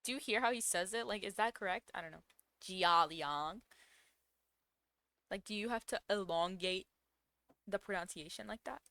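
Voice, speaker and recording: A woman's voice: medium pitch, moderate pace, pauses often, sharp tone, dry recording. A woman giving casual, playful reaction commentary as a streamer, full of questions and sarcastic asides. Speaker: streamer reacting to a game story.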